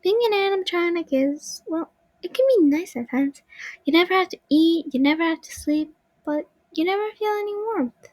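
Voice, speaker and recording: Storybook animatronic puppet girl, timid and gentle, high and childlike, voiced by a young woman, dry close recording. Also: normal speed, no echo. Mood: sad. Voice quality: soft, childlike. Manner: timid, pleading when scared.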